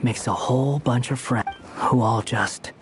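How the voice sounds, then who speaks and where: A man's voice: medium pitch, a little slow, close to an everyday voice. A man playing a cool, sceptical young companion in a video game, dry and matter-of-fact. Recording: music behind the voice.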